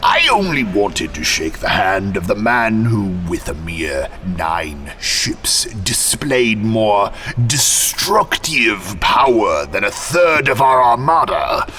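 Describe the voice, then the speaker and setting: A man voicing a scheming alien ambassador in an audio drama, with a gravelly, mocking manner. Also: lowish voice, big pitch swings, takes it slowly, pushed and bright, heavily acted. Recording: music bed behind voice.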